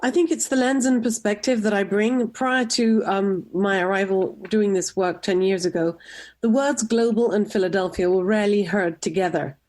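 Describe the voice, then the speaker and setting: A middle-aged woman from Tunisia, a nonprofit director, giving measured, composed answers in a radio interview. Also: medium pitch, steady tone, a little mellow. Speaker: guest, nonprofit executive director.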